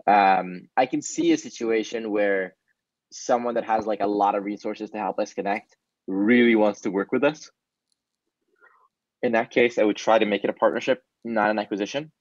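A man's voice: lowish voice, dry recording. A young man whose first language is Hebrew, a startup founder answering interview questions energetically on a video call. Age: young adult. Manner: energetic, gesturing while he talks. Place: small room indoors. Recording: dull, dry video call audio.

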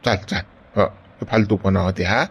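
A man's voice: low voice, steady tone, moderate pace, husky. A man playing an old friend coaxing in a Varhadi accent. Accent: Varhadi Marathi. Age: older. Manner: coaxing, chiding with repeated "गड्या".

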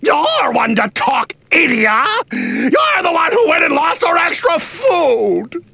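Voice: medium pitch, wildly swooping pitch, takes it slowly, vocal fry, bright, forceful tone, husky, no echo, exaggerated voice. An actor playing an irascible, pompous expedition master in a game, scolding and stammering when flustered. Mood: furious, blustering. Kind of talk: animated game voice acting.

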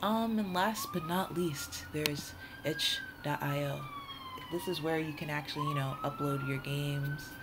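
A woman's voice: low-pitched, huge pitch swings, unhurried, heavy background noise. A woman hosting a casual, off-the-cuff tutorial in a chatty, informal, rambling way. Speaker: tutorial host.

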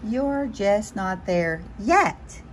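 A woman's voice: medium pitch, lively intonation, drawn-out words, slight background noise. A woman voicing a huge, patient whale in a children's picture book, blunt, firm and encouraging. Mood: reassuring. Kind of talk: animated children's picture-book read-aloud.

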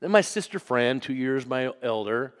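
Guy preaching, mid-pitched voice, goes loud then real quiet telling his story, church echo. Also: lively intonation, normal speed. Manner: storytelling, swings from loud to hushed. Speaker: pastor. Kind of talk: sermon. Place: church sanctuary.

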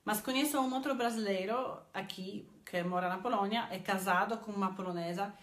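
A woman's voice: medium pitch, a little fast, echoey room. A woman in her thirties from Poland, speaking with a Polish accent and teaching languages, talking in a casual vlog with many hesitant "eh" fillers and repeated words, filmed on a phone in a small indoor room.

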